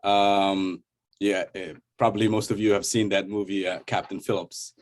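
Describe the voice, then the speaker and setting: Low-voiced man, halting delivery. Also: level tone. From Somalia. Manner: hesitant, halting, informal. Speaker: workshop participant, cybersecurity entrepreneur. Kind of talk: informal self-introduction. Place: online video call.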